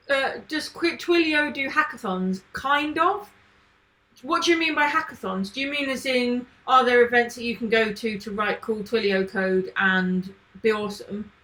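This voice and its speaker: A woman's voice: on the high side, a bit bright, poor audio. A woman chatting casually on a live coding stream, thinking aloud and answering questions from chat. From not from Manchester. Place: home, living in Manchester.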